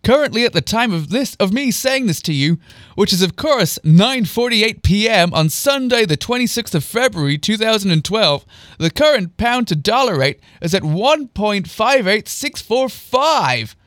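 A flamboyant young man, as a male reader plays him, proud and bossy. He declaims with smug, manic zeal, his raised pitch swinging widely as he holds court in a story. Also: sing-song, moderate pace, fairly bright tone, no room sound, clean studio sound. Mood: smug, enthusiastic. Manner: camp, smug, grandly declaiming.

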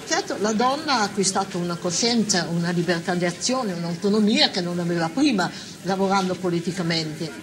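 A woman's voice: lowish voice, fairly quick, background noise. An older woman from Italy giving a reflective, spoken testimony about her experience. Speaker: former partisan giving testimony. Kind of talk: reflective oral-history testimony.

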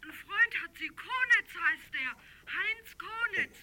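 An anonymous female informant in a vintage crime radio drama. The voice is extremely high, furtive and spiteful. It comes over an indistinct telephone line with clear noise. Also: animated, slow, sharp tone, heavily acted. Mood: furtive, gossiping. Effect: telephone call. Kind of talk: vintage German crime radio play acting.

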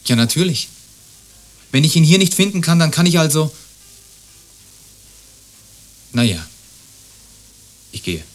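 An actor playing a courteous, evasive, lovelorn neighbour in a radio drama, earnest and often breaking off mid-sentence. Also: male voice, a little high, very animated, moderate pace, soft background music. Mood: evasive, resigned. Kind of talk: radio play dialogue.

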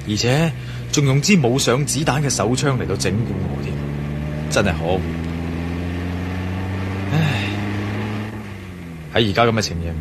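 A man acting a bold, suspicious adventurer in a Cantonese radio drama with a Hong Kong accent. He has a medium-pitched voice and mutters his thoughts aloud with theatrical intensity. Clear noise of rain and cars runs behind him. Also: natural voice.

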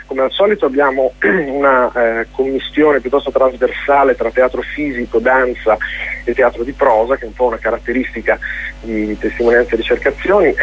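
A man with an Italian accent answers in an informal radio interview as a festival director, speaking haltingly and often repeating words as he searches for phrasing. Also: medium pitch, breathy edge. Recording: dull, lo-fi radio interview audio.

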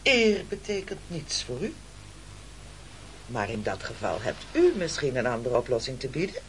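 An actor playing a pompous, scheming castaway leader in a radio play, with oratorical delivery. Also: male voice, mid-range voice, huge pitch swings, moderate pace, slight background noise, poor audio. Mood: reproachful. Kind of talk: theatrical radio play acting.

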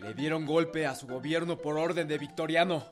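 An actor playing a loyal, respectful Mexican revolutionary aide in a radio drama. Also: male voice, on the high side, steady tone, moderate pace, faint music behind, natural voice. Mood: grave.

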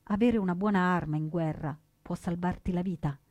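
An actress playing a proud young woman partisan in her twenties, telling her story in measured, earnest theatrical narration. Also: lowish voice, darkish tone, no echo, natural voice. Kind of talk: scripted theatrical first-person narration. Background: plucked guitar music.

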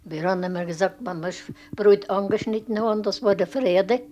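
A woman's voice: medium pitch, steady tone, moderate pace, warm tone, highs cut off. An older woman informally recalling village life, recorded on location. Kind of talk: informal spoken recollection. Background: clear ambient noise.

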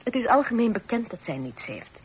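A lively young sister in an old radio play, teasing and probing in formal, old-fashioned phrasing. Her voice sits fairly high and swings freely up and down. The recording carries some background noise. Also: a little fast, low-quality recording. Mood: confiding, gossipy. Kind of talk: Dutch radio play acting.

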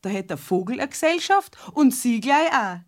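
A woman's voice: sits high, very animated, moderate pace. An actress playing a persistent, nosy, good-natured neighbour woman with a Bavarian accent in a children's radio play. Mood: chatty, excited. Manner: chatty, insistent.

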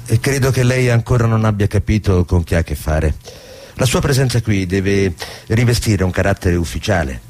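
An old ex-diplomat in a radio play. His voice is deep and husky. He is courtly and guarded, choosing formal words and hinting more than he says. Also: animated, darkish tone. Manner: measured, formal, allusive. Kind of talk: radio drama acting.

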